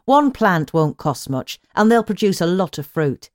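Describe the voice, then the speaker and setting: British woman reading, low-pitched and lively. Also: a little slow, no room sound, clean studio sound. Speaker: magazine audio edition reader. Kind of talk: scripted narration, read magazine articles.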